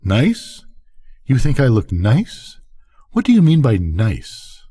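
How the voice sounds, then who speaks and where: A proud, tense ranch wife from a storybook, read by an older man. She is insistent and wistful, in a soft-edged husky voice that swings widely in pitch. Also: huge pitch swings, slow, full character voice. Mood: tense, probing.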